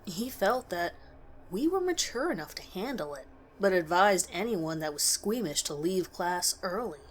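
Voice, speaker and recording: A woman reading a scripted first-person horror story in a measured storytelling way with expressive emphasis. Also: fairly low pitch.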